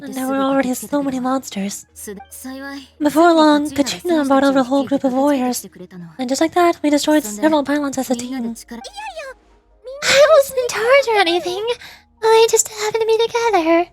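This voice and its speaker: A woman's voice: sits high, moderate pace, long pauses, soft background music, close to an everyday voice. A woman reading the lines of a cheerful young female game heroine, earnest and upbeat, as fan voice acting.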